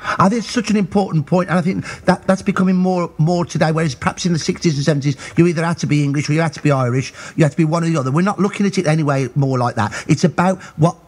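A male radio presenter interviewing a guest in the studio, brisk and friendly in his questions. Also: on the high side.

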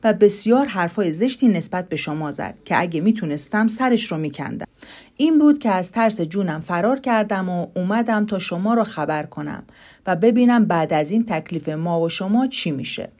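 A clever little rabbit from a storybook, played by a woman in a lowish female voice. He speaks in an earnest, deliberate way, always trying to persuade. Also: lively intonation, a little mellow. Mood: pleading, meek. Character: clever, persuasive male rabbit of a fairy tale.

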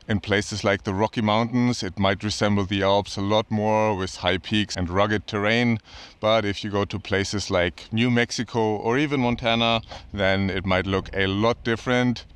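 A man in his thirties talks to the camera on a snowy mountainside in a chatty, upbeat way. His voice sits low and sounds smooth and full. The sound is dry and close. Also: fairly bright tone, no room sound. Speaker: ski vlogger. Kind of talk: casual presenting to camera. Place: outdoors on snowy mountain slopes.

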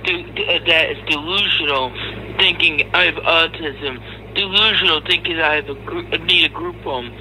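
A man with a high, very forceful voice rants in an aggrieved, sarcastic way. His language is foul and confrontational. There is faint noise on the recording. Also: normal speed, low-quality recording. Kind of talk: angry, profane rant to camera and recorded audio.

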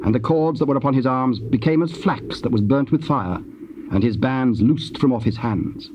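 Solemn male scripture narrator, muffled tape. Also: medium pitch. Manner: solemn, measured. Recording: muffled, noisy old cassette transfer.

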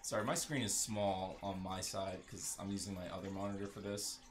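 A man with a creaky voice giving casual, joking video game commentary, talking to himself as he plays. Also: low-pitched, flat delivery, a little fast, a little hiss or hum.

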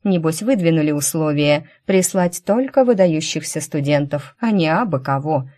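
A woman narrating a first-person fantasy novel as an audiobook, in an expressive scripted reading style. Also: deep voice, normal speed.

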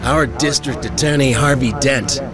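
An actor playing a formal city official announcing to the press in an audio drama. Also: male voice, mid-range voice, steady tone, normal speed, music in the background, close to an everyday voice.

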